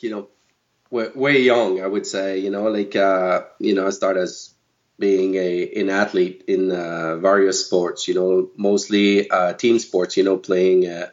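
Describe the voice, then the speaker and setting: A man, speaking fairly low and feeling his way through his answers with a lot of "uh" and "you know." His voice comes through a dull, lo-fi line. He is a guest coach talking about his path into coaching. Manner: halting, many fillers and restarts. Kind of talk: podcast interview answers.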